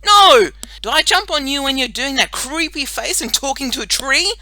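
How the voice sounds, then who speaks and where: Woman as furious schoolgirl, very high pitch, loud, sharp, emphatic scolding, children's audiobook. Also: expressive, moderate pace, dry recording. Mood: outraged. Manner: shouted, emphatic rhetorical questions. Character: indignant young schoolgirl, shrieking and scolding. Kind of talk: children's audiobook character acting.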